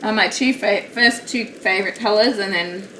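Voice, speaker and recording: A woman chatting casually while live-streaming a craft hobby from home, rambling and self-deprecating, with a naturally loud voice. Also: medium pitch, normal speed. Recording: roomy, distant mic, steady background noise.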